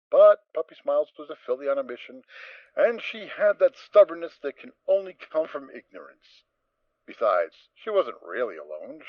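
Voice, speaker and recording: Man, storybook narration, animated pitch, dry close sound, lo-fi. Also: no echo. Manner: storytelling reading. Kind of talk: fan audio drama narration.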